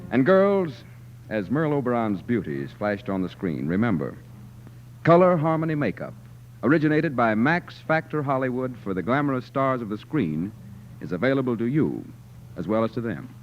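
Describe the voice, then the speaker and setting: A man's voice: medium pitch, big pitch swings, moderate pace. An American man working as a radio announcer, reading a scripted 1940s commercial with polished, emphatic salesmanship. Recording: dull, slightly noisy 1940s radio recording.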